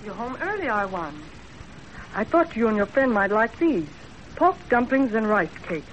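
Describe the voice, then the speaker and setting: A high-voiced, composed servant girl. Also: sing-song, moderate pace. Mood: polite, composed. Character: self-possessed, sceptical young servant woman, quietly perceptive. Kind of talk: 1930s radio drama acting. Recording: muffled, faintly noisy 1930s radio broadcast.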